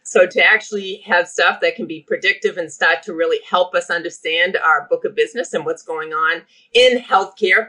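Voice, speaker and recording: A woman's voice: on the low side, sharp tone, low-quality recording. A middle-aged woman with a Boston accent, talking energetically as a guest on a podcast interview. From Boston area. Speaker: healthcare operations guest.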